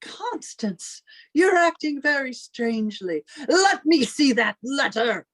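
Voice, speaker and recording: Possessive older sister woman acting, high-voiced and slow, guilt-tripping in theatrical radio-drama style. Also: sing-song, dry recording, exaggerated voice. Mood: suspicious, pleading. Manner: theatrical, reproachful, wheedling. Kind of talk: old-time radio melodrama acting.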